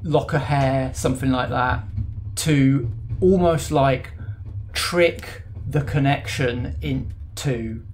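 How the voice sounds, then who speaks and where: A man gives voice to a shrewd, tactical male agent of the spirit world, matter-of-fact and unhurried as he lays out the facts. The pitch sits in an ordinary middle range, with a faint hum of room noise underneath. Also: darkish tone, lightly acted. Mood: explaining. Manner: matter-of-fact, explains in measured asides. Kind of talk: tabletop game character voice.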